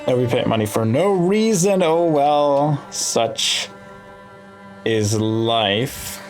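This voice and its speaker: A man talks through a video game at an unhurried, slow pace. He thinks aloud as he weighs his choices, in casual playthrough commentary. Game music plays clearly behind his mid-pitched voice. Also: expressive. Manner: thinking aloud while deciding. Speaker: gaming video host.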